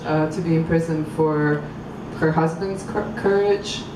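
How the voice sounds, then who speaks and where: A woman from Croatia reading poems at a public event, halting and thoughtful between poems and measured while reading. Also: deep voice, fairly even intonation. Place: outdoor public venue. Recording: echoey, far from mic, street noise.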